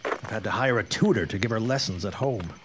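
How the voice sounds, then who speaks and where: A man's voice: on the low side, fairly quick, noisy background, close to an everyday voice. An actor playing a wary, grave 1910s newsman in a radio drama, speaking in formal, old-fashioned phrases. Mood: sombre. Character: grim, wary 1910s newsman and worried father. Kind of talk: period radio drama acting.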